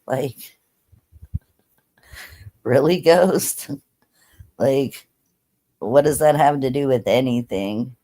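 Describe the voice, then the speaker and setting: Woman, 30s, easygoing livestream host; slow, rambling casual delivery. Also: very deep voice, warm tone. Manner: laid-back, chatty, lots of fillers. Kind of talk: casual chat with viewers.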